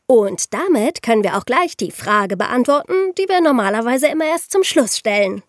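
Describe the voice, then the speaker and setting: A woman's voice: fairly high pitch, sing-song, moderate pace, dry recording, studio quality. A woman co-hosting a podcast in a casual, chatty style. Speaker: podcast co-host.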